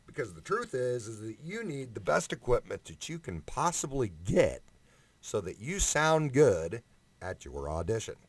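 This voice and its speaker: A man's voice: medium pitch, big pitch swings, measured pace, no echo. A man ranting casually in a vlog, blunt, emphatic and sweary, speaking right up close to a condenser microphone. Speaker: amateur singer giving audition advice. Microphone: condenser microphone up close, no preamp.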